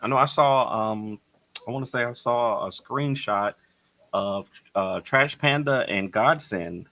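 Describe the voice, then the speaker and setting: A man co-hosting a casual podcast, speaking in a halting, supportive way as he searches for words. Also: mid-range voice, bright, forceful tone. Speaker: podcast co-host.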